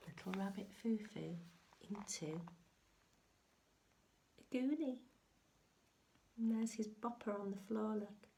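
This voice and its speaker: A woman reading a picture book aloud to young children, mixing expressive sing-song lines with hushed chatty asides. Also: medium pitch. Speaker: infant school story reader.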